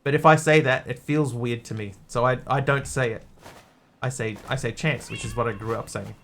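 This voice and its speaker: A man's voice: mid-range voice, normal speed, fairly bright tone, a little hiss or hum. A man with a mixed Australian and New Zealand accent, chatting casually as a game streamer and answering his chat. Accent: Australian-New Zealand hybrid. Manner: rambling, easygoing, answers chat.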